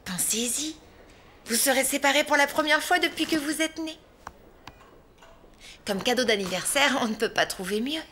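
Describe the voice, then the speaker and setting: A woman's voice: high-pitched, lively intonation, normal speed, pauses often, background noise. A woman playing a patient but firm animal nurse in a children's cartoon, soothing her patients. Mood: gently scolding. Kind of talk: children's cartoon dubbing in French.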